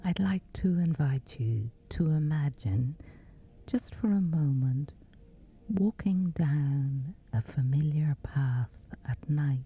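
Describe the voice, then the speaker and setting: Very slow, whispery low-voiced woman. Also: expressive. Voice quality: whispery, breathy. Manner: slow, hushed, pausing between short phrases. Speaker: sleep meditation guide. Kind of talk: guided sleep meditation, soft and breathy. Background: background music.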